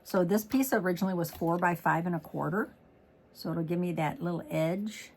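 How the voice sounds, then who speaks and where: A middle-aged woman demonstrating card making in a chatty step-by-step tutorial, slightly hoarse as she is losing her voice. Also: on the low side, moderate pace, a little mellow, a little hiss or hum. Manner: chatty, walks through each step. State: losing her voice, throat clearing. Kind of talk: casual crafting tutorial.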